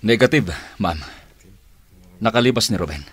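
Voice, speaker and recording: An actor with a Filipino accent playing a commanding police chief in a radio drama, giving clipped, authoritative orders. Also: male voice, lowish voice, lively intonation, moderate pace, fairly bright tone, slightly rough, full character voice. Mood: firm, official. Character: commanding male police chief leading a manhunt.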